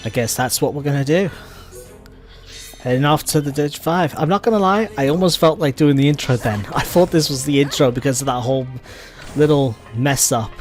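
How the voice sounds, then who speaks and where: A man giving casual gameplay commentary as a let's play host. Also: medium pitch.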